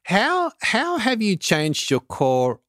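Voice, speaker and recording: Lively-voiced man hosting an interview. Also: high voice, takes it slowly, dry recording, studio quality. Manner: curious, thoughtful questioning. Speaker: podcast host. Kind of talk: conversational interview.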